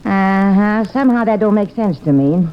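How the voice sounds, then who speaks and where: A woman's voice: mid-range voice, animated, moderate pace, slight background noise, close to an everyday voice. A woman playing a good-natured, exasperated, folksy housekeeper in an old radio comedy-drama, on a muffled recording.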